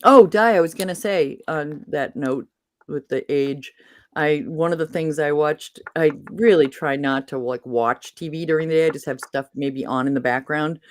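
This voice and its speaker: A woman's voice: low-pitched, normal speed, no room sound. A woman from Massachusetts chatting casually as host, rambling and losing her train of thought. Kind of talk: casual chat.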